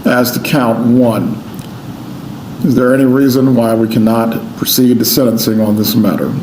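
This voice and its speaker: An American man speaking as a judge, giving a formal, deliberate courtroom address. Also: mid-range voice, level tone, darkish tone. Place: indoor courtroom. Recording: echoey courtroom, faint noise.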